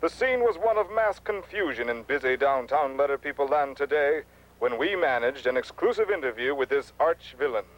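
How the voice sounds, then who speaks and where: An actor playing a solemn, melodramatic radio newscaster in a parody news bulletin for children. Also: male voice, mid-range voice, lively intonation, moderate pace, lightly acted, low-quality recording. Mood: grave, dramatic. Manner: formal, dramatic announcing.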